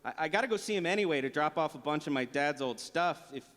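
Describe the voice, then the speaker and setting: An affable, doubtful regular guy in a live radio play. His voice is high for a man and he talks in a hesitant, incredulous way. The long reverb of a big hall surrounds him. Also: expressive, fast, fairly bright tone. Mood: agreeable, hesitant. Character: Brian, affable skeptical adult son of a retired sportscaster. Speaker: actor. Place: large hall, live stage show.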